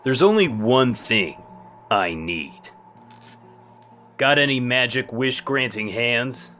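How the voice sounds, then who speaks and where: An actor playing a brooding, mysterious businessman in a comedy radio play, grave and deliberate in clipped phrases. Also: mid-range voice, lively intonation, bright, forceful tone, faint music behind. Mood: ominous. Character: brooding, mysterious stranger, a self-styled cool businessman.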